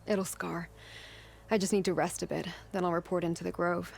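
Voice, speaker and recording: Low, gravelly battered warrior woman. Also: flat delivery, brisk, a little mellow. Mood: blunt, hardened. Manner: blunt, weary. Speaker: game character actor. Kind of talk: dramatic game cutscene acting. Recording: music behind the voice.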